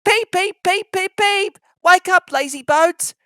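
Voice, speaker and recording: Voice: very high-pitched, takes it slowly, bright, forceful tone, no room sound, studio quality, voice pushed up, exaggerated voice. A storybook narrator voicing a cheeky, fussy, impatient young male little tank engine, with bossy, exclamatory whistling cries.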